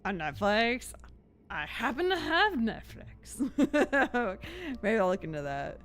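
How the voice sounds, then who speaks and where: A woman's voice: a little high, very animated, slow pace, fairly bright tone. A woman streaming a video game, chatting casually and thinking aloud in a giggly, talkative way. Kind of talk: casual live stream chat. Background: game music.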